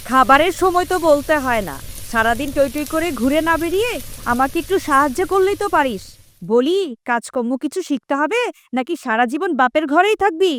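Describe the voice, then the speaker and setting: A woman acting a high-voiced, nagging mother. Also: expressive, brisk, fairly bright tone. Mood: scolding, exasperated. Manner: nagging, reproachful. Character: scolding, exasperated village mother of a mute girl. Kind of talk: Bengali audio drama acting.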